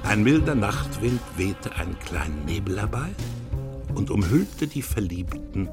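A man's voice: lowish voice, big pitch swings, a little slow. A man narrating a scripted children's story as the narrator of a radio play. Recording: music behind the voice.

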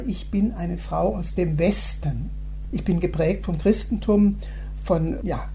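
A woman's voice: fairly low pitch, dark tone, slight background noise. A woman, a Buddhist dharma teacher from Germany, speaking reflectively in an interview and sometimes searching for words. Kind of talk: recorded interview soundbite.

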